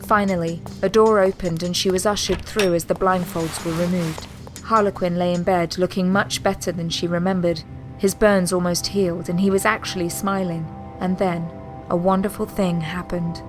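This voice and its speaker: A woman narrating a scripted sci-fi audio drama in a measured, literary storytelling manner. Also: lowish voice, steady tone, music in the background, close to an everyday voice.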